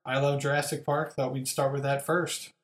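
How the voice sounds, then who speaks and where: A man's voice: medium pitch, flat delivery, moderate pace, roomy sound. A man hosting a podcast, chatting casually and upbeat with lots of fillers. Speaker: podcast host.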